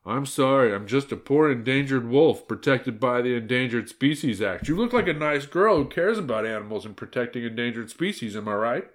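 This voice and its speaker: A man acts out a big bad wolf from a satirical fairy tale. He is meek and ingratiating, pleading politely in a middle-pitched voice with lively swings. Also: brisk, few pauses, natural voice. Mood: pleading, wheedling.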